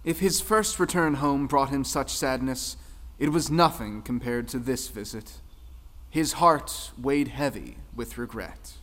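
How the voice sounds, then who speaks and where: A man narrating a scripted folktale in a solemn, measured, dramatic way. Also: medium pitch, a bit bright. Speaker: storyteller. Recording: music under the voice. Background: music.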